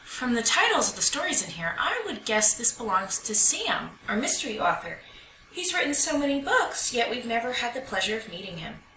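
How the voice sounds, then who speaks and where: A woman's voice: on the high side, big pitch swings, moderate pace, sharp tone. A woman voicing a kindly, encouraging female librarian in a children's storybook, speaking in a teacherly manner. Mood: curious. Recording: roomy echo, distant mic, faint noise.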